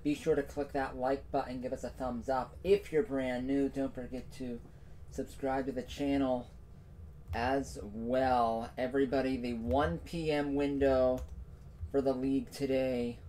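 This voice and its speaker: A man's voice: mid-range voice, little pitch movement, unhurried, dark tone, a little hiss or hum. A young man hosting a solo sports stream from a small room at home, recapping the day's football games with emphatic repetition into a desk mic. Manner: emphatic, repeats phrases for effect.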